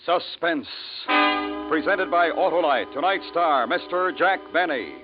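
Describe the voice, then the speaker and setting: A man's voice: a little high, animated, measured pace. Old timey radio announcer guy, 50s scripted delivery, music under him, dull vintage audio. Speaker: program announcer. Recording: muffled vintage radio recording with music behind.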